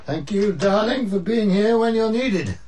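A man's voice: high voice, animated, unhurried, darkish tone, slight background noise. An actor playing a blunt, weary older English husband and father in a radio drama, with a wry, old-fashioned turn of phrase. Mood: weary, fond. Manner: wry, swearing, old-fashioned upper-middle-class turns of phrase.

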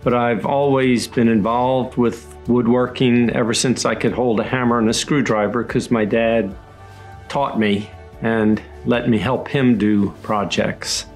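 An older man, a retired engineer, reflecting slowly on his woodworking in a documentary interview, with many pauses and restarts. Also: medium pitch, fairly even intonation, soft background music. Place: woodworking shop in a farm building.

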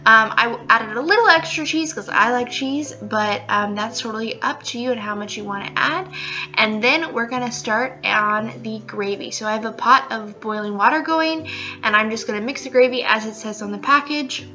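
A young woman chatting casually through a home cooking video, upbeat and full of fillers, recorded at home with soft music behind. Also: fairly high pitch, moderate pace, highs cut off. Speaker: cooking vlogger. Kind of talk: casual cook-along narration. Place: living room at home, indoors.

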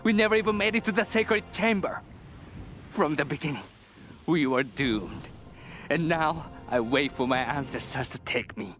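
An actor playing a terrified, doomed Japanese soldier in a video game, speaking in an anguished, halting way. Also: male voice, a little high, expressive, fairly bright tone, soft background music. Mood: despairing, pleading. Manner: halting, haunted, anguished delivery. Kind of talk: dramatic video game diary narration.

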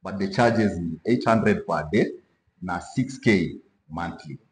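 Deep-voiced Kenyan man hosting in a gym. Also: slow. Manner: relaxed, frequent "yeah" affirmations, mixes Swahili slang. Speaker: host. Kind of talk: casual interview. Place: indoor gym. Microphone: lapel. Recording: echoey gym room.

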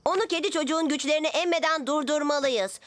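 Cartoon dub: woman playing a high-voiced earnest boy hero. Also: fast, dry recording, lightly acted. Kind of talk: cartoon dubbing.

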